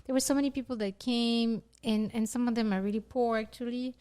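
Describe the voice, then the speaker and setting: Chatty Moroccan-born woman, higher voice. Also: fairly even intonation, brisk, mellow, clear, resonant tone. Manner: chatty, laughs often, many restarts. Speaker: podcast co-host. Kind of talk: casual chat.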